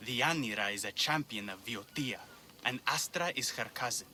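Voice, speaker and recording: An actor playing a bitter, grieving brother bent on vengeance in a video game, solemn and intense. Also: male voice, medium pitch, normal speed, bright, forceful tone, a little hiss or hum. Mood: mournful.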